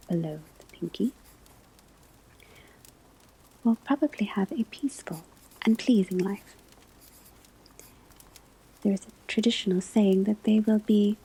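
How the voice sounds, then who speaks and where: A woman playing a calm, scholarly professor at a witch school, whispering a lecture in a measured, deliberate ASMR roleplay. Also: medium pitch, heavily acted, poor audio. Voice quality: breathy, soft whisper. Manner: measured, deliberate phrasing with frequent pauses. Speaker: ASMR performer playing a teacher.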